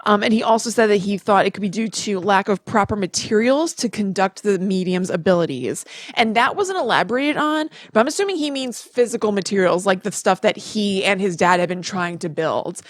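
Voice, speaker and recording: A woman talks as a podcast co-host, explaining things in a casual, conversational way. Her pitch sits in the middle range for a woman. The sound is dry, with no audible room around her. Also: expressive, brisk, dry recording. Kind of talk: casual chat, retelling research.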